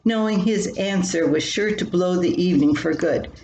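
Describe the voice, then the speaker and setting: An older woman with a slightly low voice reads a personal story aloud in a wry, theatrical way. The room echoes around her, and there is clear noise in the recording. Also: moderate pace, a little mellow. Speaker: columnist reading own humorous column. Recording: roomy echo with clear background noise.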